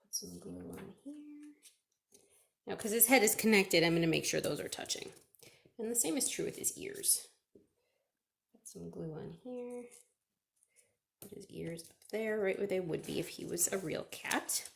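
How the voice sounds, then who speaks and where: A woman's voice: medium pitch, animated, normal speed, creaky, long pauses. A woman chatting through a step-by-step craft for children, asking questions and answering them herself. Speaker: children's librarian leading a craft.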